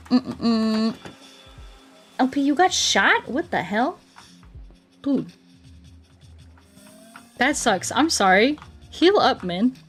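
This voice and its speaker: A woman livestreaming a video game, chatting casually with viewers in a giggly, playful, mock-earnest way. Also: on the high side. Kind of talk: casual chat with viewers while playing.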